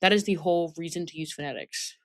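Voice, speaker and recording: A woman's voice: fairly low pitch, quick pace, no echo. A woman instructor giving an informal training lesson, reading out points and explaining them, often checking that listeners follow. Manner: instructive, checks understanding with tag questions.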